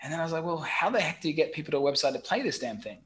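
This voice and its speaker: A man's voice: a little high, rapid-fire. Frank Australian man telling his story. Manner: frank, lively storytelling with mild swearing. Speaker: guest, website marketing expert. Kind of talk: casual podcast chat. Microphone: remote call.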